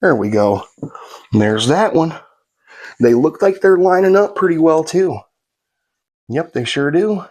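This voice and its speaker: A man's voice: on the high side, animated, unhurried, pauses often, a little mellow, slightly rough. A man narrating a how-to build in a laid-back, folksy way while he works, sniffly from a slight cold. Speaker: guitar kit builder.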